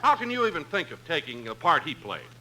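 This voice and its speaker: A man's voice: a little high, big pitch swings, a little fast, bright, forceful tone, rough recording. An American man announcing in the manner of 1950s radio comedy. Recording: old radio recording.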